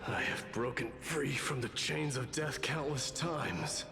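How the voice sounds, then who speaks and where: A man's voice: medium pitch, a little slow. A man playing a stoic, noble warrior in a video game, grave and formal, with reverb on his voice. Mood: grave, resolute. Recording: long echoing reverb, music behind. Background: game music.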